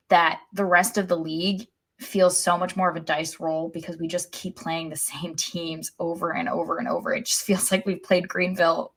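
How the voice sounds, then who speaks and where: A woman's voice: fairly low pitch, a bit bright. A woman co-hosting a podcast, talking informally about sports and repeating herself for emphasis. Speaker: podcast co-host. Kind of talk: casual sports chat.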